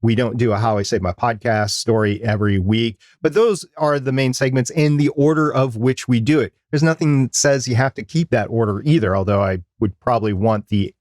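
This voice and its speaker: A man with a mid-pitched voice co-hosts a podcast. He delivers semi-scripted advice and drops in casual asides. The recording is dry, with very little room sound. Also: a little fast, hardly any pauses, darkish tone, no room sound. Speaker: podcast co-host.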